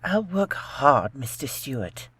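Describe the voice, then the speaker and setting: A woman's voice: very deep voice, big pitch swings, slow, a bit bright, breathy edge, no room sound, exaggerated voice. A woman acting a meek, naive housekeeper in a horror radio play, deferential, hesitant and uneasy. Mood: eager, polite.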